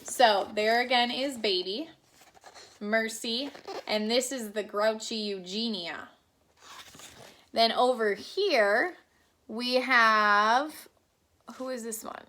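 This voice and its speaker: A woman's voice: fairly high pitch, slow pace, long pauses, bright, forceful tone. A woman teacher reading a picture book aloud to children, adding chatty asides to the kids.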